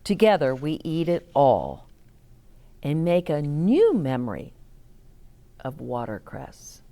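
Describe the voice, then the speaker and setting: Female storytime reader for young children: lower voice, lively melody, deliberate explanatory delivery. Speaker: storytime host reading picture books aloud. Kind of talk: expressive read-aloud for children with asides to listeners.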